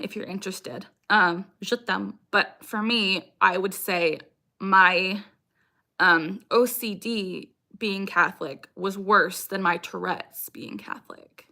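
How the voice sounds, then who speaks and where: Young female streamer, bright crisp-edged voice at middle pitch, informal and frank Q&A chat punctuated by vocal tics. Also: slow. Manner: sudden repeated vocal tics interjected into talk. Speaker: livestream host, content creator. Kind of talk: casual livestream Q&A chat, answering viewer comments.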